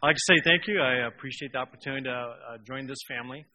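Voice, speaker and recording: A man's voice: mid-range voice, racing, sharp tone. A newly appointed man giving a short, hesitant thank-you speech with many fillers. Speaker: new staff appointee.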